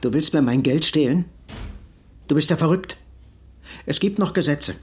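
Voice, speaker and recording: A man's voice: fairly high pitch, normal speed, a little mellow, slight background noise, lightly acted. An actor playing an upright but anxious male merchant in a German radio drama, earnest and reproachful in manner. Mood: wounded indignation.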